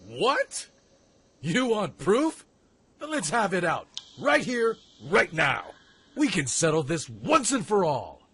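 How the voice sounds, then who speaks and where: A man's voice: sits high, huge pitch swings, slow pace, bright, forceful tone, husky, heavily acted. A man playing a cocky, self-reliant young mech pilot in a radio play, with a brash, swaggering delivery. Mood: irritated, challenging.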